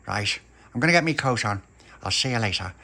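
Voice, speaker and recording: A man's voice: mid-range voice, lively intonation, brisk, gravelly, bright, forceful tone, full character voice. A man with a Liverpool accent voicing a fiery working-class mother who rants and sighs in indignation. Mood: exasperated. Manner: indignant ranting, sighing.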